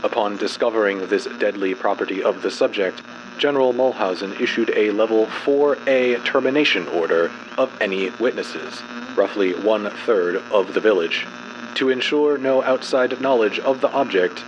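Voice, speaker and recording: A man narrating a horror fiction file as a dramatic reading, in a formal, clinical, measured delivery. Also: fairly low pitch, lo-fi sound, noisy background.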